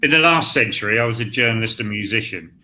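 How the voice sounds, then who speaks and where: A man's voice: fairly low pitch, lively intonation, quick pace, pushed and bright. A man who is a scriptwriter guest on a panel, talking in a blunt, rambling way and restarting his phrases, over a video call.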